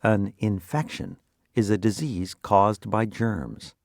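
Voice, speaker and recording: A man presenting scripted educational quiz questions and answers with deliberate, instructional phrasing. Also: fairly low pitch, creaky, dry recording, clean studio sound.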